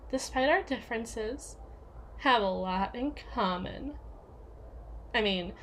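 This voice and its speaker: A woman's voice: a little high, a little hiss or hum. A woman playing a domineering, jealous yandere with biting sarcasm and a taunting, theatrical manner in an ASMR roleplay. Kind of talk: ASMR roleplay audio drama.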